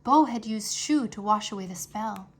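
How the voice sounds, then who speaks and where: A woman's voice: medium pitch, moderate pace, natural voice. A woman voicing an earnest young boy hero, a dragon master in a children's fantasy storybook, speaking with urgency. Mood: focused.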